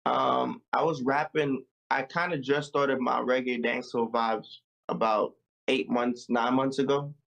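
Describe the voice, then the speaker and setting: A young man born in Jamaica and raised in America talks over a video call. His voice is gravelly at the edges and sits at an average pitch. He speaks in a loose, laid-back, reflective way. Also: steady tone, measured pace, a bit bright, dull audio. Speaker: musician guest.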